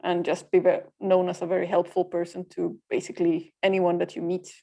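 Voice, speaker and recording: A woman from Finland whose first language is Swedish, a freelancer guest on a podcast, speaking in a plain, practical way with frequent pauses. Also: lowish voice, monotone, vocal fry, mellow. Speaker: guest, freelancer community co-founder. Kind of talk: podcast interview.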